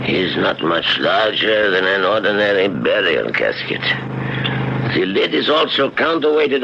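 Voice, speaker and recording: An actor playing a confident, precise doctor-scientist in a measured, lecturing radio drama style. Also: male voice, lowish voice. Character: confident, precise male doctor-scientist explaining his machine. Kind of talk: old-time radio drama acting. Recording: dull, noisy 1970s radio recording.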